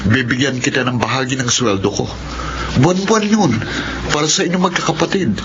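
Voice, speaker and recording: A man's voice: mid-range voice, a little fast, lightly acted. An actor playing a wounded, possessive young man in love, in a Filipino radio drama. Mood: hurt, insistent. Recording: muffled, noisy lo-fi radio broadcast.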